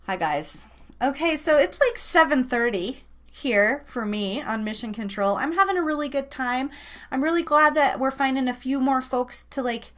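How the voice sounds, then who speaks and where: A woman's voice: fairly high pitch, fairly bright tone. A woman hosting a live online radio show, chatting informally with listeners and rambling as she thinks aloud. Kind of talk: casual, chatty livestream talk to listeners.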